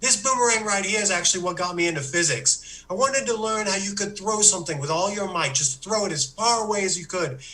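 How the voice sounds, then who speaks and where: A man voicing a rambling, self-pitying Australian man who gives a comic business pitch in a put-on Australian accent. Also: a little fast, few pauses, sharp tone, poor audio. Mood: boastful. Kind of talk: comedic monologue.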